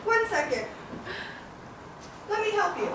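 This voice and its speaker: A woman's voice: extremely high pitch, very animated, unhurried, a bit bright, exaggerated voice. A young woman playing an earnest, adventurous girl in a live puppet show for children, with plaintive, exclaiming delivery. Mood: kind. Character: Amelia, earnest adventurous young girl seeking her father. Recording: echoey noisy hall, far microphone.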